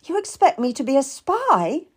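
Woman acting a high-pitched, pleading Tudor servant woman. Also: very animated, normal speed. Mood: wary, reluctant. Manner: urgent, beseeching. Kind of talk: dramatised audiobook character.

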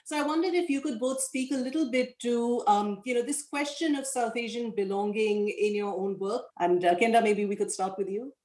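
Woman, slightly high voice, academic panel moderator, roomy echoing recording. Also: darkish tone.

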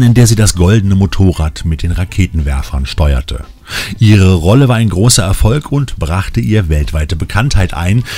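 A man's voice: fairly low pitch, darkish tone. A man hosting a magazine show, reading scripted narration. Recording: music bed behind the voice. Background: music.

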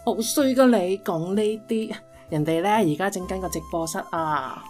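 A woman's voice: fairly low pitch, normal speed, darkish tone, faint music behind, natural voice. Woman playing a coy, bossy Hong Kong wife. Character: coy, breezily domineering spendthrift wife. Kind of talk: comedic Cantonese radio drama.

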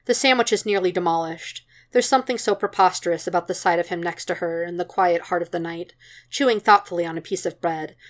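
Low-voiced woman reading a story. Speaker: podfic reader. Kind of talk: scripted narration of fan fiction.

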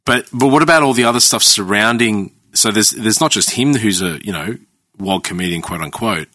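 A man's voice: fairly low pitch, animated, fairly quick, bright, forceful tone, dry recording. A man, talking casually, asking questions and agreeing often. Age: late 30s. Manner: agreeable, frequent backchannel. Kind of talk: casual podcast chat.

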